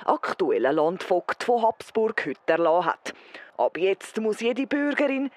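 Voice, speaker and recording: A woman's voice: mid-range voice, dry recording. A woman playing an earnest, persistent field correspondent in a parody news report, formal and dramatic, with a Swiss German accent. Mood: serious.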